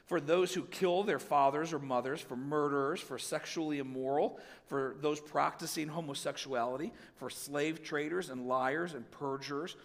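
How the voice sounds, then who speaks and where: A male pastor with a head cold, slightly raspy, giving a conversational sermon and reading scripture with asides to the congregation. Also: a little high, a bit bright, long reverb tail.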